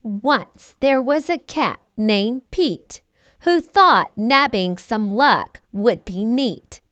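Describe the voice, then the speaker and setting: A woman reading a children's storybook aloud in an expressive, deliberate storytelling manner. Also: on the high side, dry recording, lo-fi sound. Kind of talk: scripted read-aloud storybook narration.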